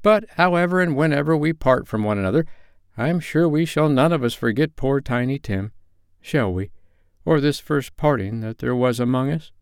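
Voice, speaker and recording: A man's voice: medium pitch, expressive, moderate pace, clear, resonant tone, no echo, clean studio sound, pitched above the performer's own voice, natural voice. A man voicing a kindly, talkative Victorian father from a storybook, earnest and effusive in his tenderness. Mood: cheerful, fond.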